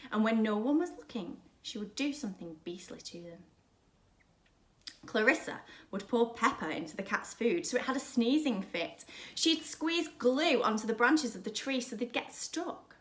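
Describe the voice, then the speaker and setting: A woman reading a children's story aloud like a primary school teacher, dramatically, with emphatic pauses and hushed drops. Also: fairly high pitch. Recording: roomy, echoing sound.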